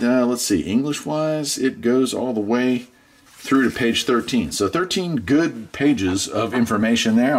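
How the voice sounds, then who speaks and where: A man's voice: lowish voice, noisy background. A middle-aged man chatting casually through a product unboxing in a laid-back, folksy way. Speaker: product reviewer, channel host.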